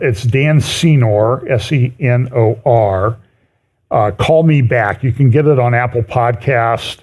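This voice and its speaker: Male church speaker, mid-range voice, conversational and halting, commenting informally on articles. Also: mid-range voice, darkish tone. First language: English. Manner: halting, conversational, often breaks off mid-phrase. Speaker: speaker giving a prophecy update at a chapel.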